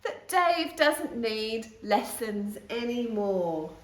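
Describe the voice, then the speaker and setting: Woman reading as a mild dad, slow and roomy. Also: on the high side, big pitch swings, far from the mic, full character voice. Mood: worried. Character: mild, worried father fond of the family dog. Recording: roomy, echoing room sound.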